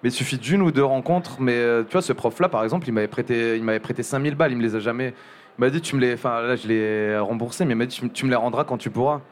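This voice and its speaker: A young man speaking casually as an interview guest, halting and restarting often, with many fillers. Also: mid-range voice, a little hiss or hum. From Montpellier area. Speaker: journalist guest.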